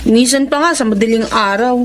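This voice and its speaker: An actress playing an orphaned young sister, earnest, polite and outspoken, in a Filipino radio drama. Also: female voice, on the high side, fairly quick, lightly acted. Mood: worried, musing.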